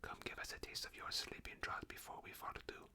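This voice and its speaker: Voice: brisk, close to an everyday voice. Reader whispering French-accented merry elves. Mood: teasing. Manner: teasing, impatient. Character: merry impatient cellar elves. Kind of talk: whispered ASMR storytelling.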